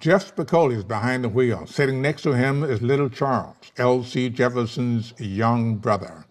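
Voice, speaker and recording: A man's voice: medium pitch, moderate pace. A man narrating a screenplay, reading out stage directions at a table read.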